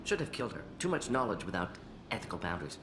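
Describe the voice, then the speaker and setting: Clipped, low-voiced alien scientist. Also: male voice, very animated, fast talker, in a large hall, background noise. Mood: clinical, blunt. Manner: clipped telegraphic sentences. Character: brisk analytical male alien scientist, blunt, clinical. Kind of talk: video game character acting.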